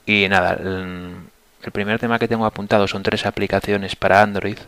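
A man hosting a casual solo podcast, hoarse from a flu, talking in a relaxed, rambling way with many fillers and restarts, recorded on a headset mic at his computer. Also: on the low side, moderate pace, dry recording. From Asturias, Spain. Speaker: podcast host.

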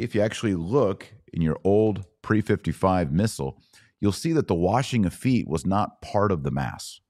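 A man's voice: sits low, mellow, no echo, studio quality. A man hosting a podcast, explaining things in an informal, conversational and emphatic way, repeating key phrases. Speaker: podcast host. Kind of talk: informal explanatory talk on church liturgy.

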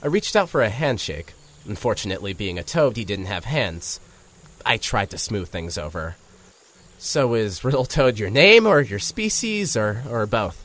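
An actor playing an awkward, wry radio host turned investigator, narrating an audio drama in the first person with some hesitancy. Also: male voice, on the low side, big pitch swings, moderate pace, fairly bright tone. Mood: bemused, then awkward, polite.

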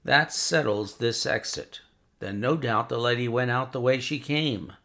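A man voicing a sharp, confident male detective who reasons in a crisp, measured way. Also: medium pitch. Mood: reasoning.

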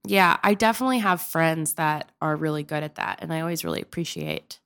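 A woman's voice: low-pitched, very animated, fairly quick, fairly bright tone, smooth. A young woman from Pennsylvania, a guest in a casual interview, talking in a rambling, chatty way full of filler likes. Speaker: guest, opera-trained improv performer.

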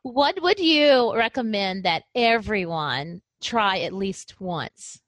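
A woman's voice: medium pitch, lively intonation, takes it slowly, a bit bright, no room sound. A woman podcast host interviewing a guest, enthusiastic and affirming. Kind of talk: interview hosting. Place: lives in Guam.

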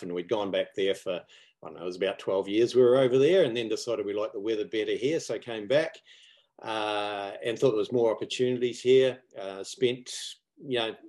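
A middle-aged man with a New Zealand accent and a slightly low voice. He talks casually as an interview guest, laid-back and self-deprecating, with halting phrasing. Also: a little mellow. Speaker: interview guest, property investor student. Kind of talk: casual anecdotal chat. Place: live video call online.

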